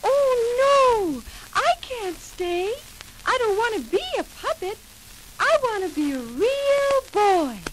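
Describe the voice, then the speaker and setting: An eager little puppet boy, very high and childlike, with wildly swooping pitch. He sounds naive and earnest, as on a 1940s children's story record. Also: sing-song, takes it slowly, noisy background, exaggerated voice. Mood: earnest refusal. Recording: old 78 rpm record with music.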